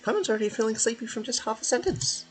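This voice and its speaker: A man's voice: very high voice, expressive, quick pace, breathy edge, pitched above the performer's own voice, full character voice. A man voicing a small, childlike, impatient fairy companion in a video game, speaking of itself in the third person. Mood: sleepy, bored.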